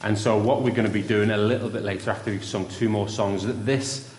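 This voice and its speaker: Young male preacher, loud carrying voice, lowish pitch, roomy church reverb. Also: steady tone, fairly quick, slight background noise. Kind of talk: sermon with story. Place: church hall.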